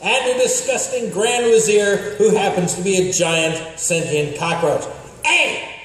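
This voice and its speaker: Wry, grandiose serial announcer, male actor: high, swooping voice, theatrical comic timing. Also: animated, a little slow, a bit bright. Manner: theatrical, pompous, dryly comic. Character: pompous, wry radio serial announcer narrator. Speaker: narrator. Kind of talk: scripted comic radio serial narration. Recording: very echoey hall, faint noise.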